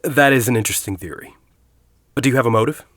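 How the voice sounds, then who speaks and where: An actor playing a courteous, quietly commanding university headmaster in an audio drama, with a polished, genial manner. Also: male voice, medium pitch, fast talker, dry recording, studio quality, natural voice. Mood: probing, cool. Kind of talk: naturalistic audio drama acting.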